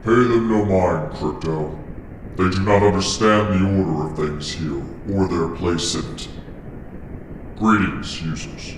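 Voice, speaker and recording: A man voicing a cold, imperious computer program that speaks in formal, measured commands. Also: low voice, voice pushed down. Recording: roomy, distant, with faint noise.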